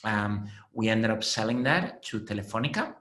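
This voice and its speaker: A man in his late thirties with a Spanish accent, explaining his work as a venture investor in a measured way, with frequent fillers, on a video call panel. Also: fairly low pitch, expressive, fairly bright tone. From Spain. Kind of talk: panel conversation. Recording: roomy echo.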